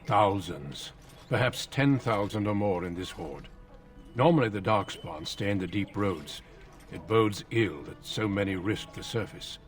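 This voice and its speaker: An actor playing a grave, courteous warrior recruiter in a fantasy game, speaking formally and solemnly. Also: male voice, fairly low pitch, animated, unhurried, distant mic, faint music behind.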